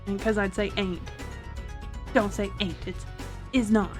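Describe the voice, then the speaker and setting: A woman's voice: mid-range voice, fairly even intonation, measured pace, darkish tone, faint music behind. A woman with a faint Southern US accent, chatting casually in a playful, self-conscious way. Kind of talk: casual chat.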